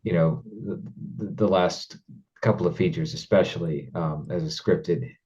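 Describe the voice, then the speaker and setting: A man hosting an interview, speaking casually and haltingly, often repeating words as he thinks aloud. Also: sits low, fairly even intonation, warm tone, muffled recording. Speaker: interview host.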